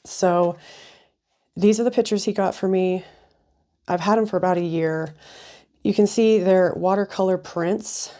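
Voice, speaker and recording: A woman hosting a casual craft tutorial, chatty with frequent ums, her voice slightly raspy and sniffly from a cold. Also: on the low side, steady tone, a little slow, pauses often, warm tone. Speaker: craft video host.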